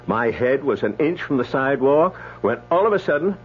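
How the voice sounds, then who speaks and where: An actor playing a blunt, chatty doctor in a radio drama, with a folksy, exclamatory storytelling manner. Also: male voice, mid-range voice, lively intonation, brisk, breathy edge. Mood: amazed, chatty. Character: blunt, chatty male doctor telling a tall tale. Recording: dull old radio recording, faint hum.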